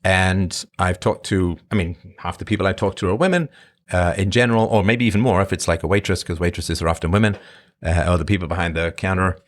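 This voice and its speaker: Middle-aged man, lowish voice, rambling casual monologue, conversational host. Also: steady tone, brisk. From born in Ireland, grew up in England. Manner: rambling, conversational, emphatic swings in pitch. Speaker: podcast host answering live chat.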